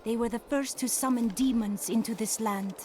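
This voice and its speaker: A woman's voice: fairly high pitch, moderate pace, darkish tone, faint music behind, natural voice. A woman playing a composed follower of a prophet from an ancient age in a video game, speaking formally. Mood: reminiscing.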